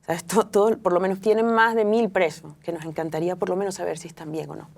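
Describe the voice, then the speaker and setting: A woman's voice: fairly low pitch, fairly even intonation, fast, creaky, darkish tone. A middle-aged woman, speaking reflectively as a guest in an interview, often restarting her sentences. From Venezuela. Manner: reflective, frequent restarts and fillers. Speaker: author and guest. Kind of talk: interview conversation.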